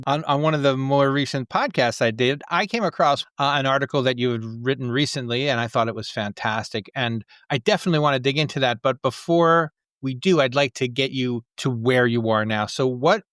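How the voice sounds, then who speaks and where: A man's voice: mid-range voice, a bit bright, clean tone. Conversational man hosting a podcast. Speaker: podcast host.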